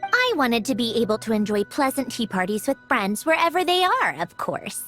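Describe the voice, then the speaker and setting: A woman's voice: fairly high pitch, sing-song, normal speed, soft background music. A woman playing a proud, refined young lady inventor in an anime video game, speaking in a prim, self-assured and articulate way. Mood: prim, gently haughty.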